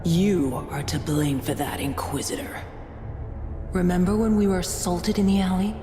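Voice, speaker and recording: A woman plays a callous cultist villainess in a fantasy game, her voice on the low side. She is composed, scornful and zealous. Long echoing reverb surrounds her, with music underneath. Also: steady tone, normal speed, close to an everyday voice. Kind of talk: dramatic video game acting. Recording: echoey hall reverb, music behind.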